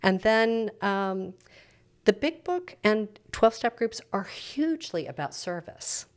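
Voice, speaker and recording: A woman's voice: mid-range voice, lively intonation, unhurried, clean tone. A middle-aged woman speaking as a church preacher in an interview, explaining things in an earnest, reflective, teacherly way.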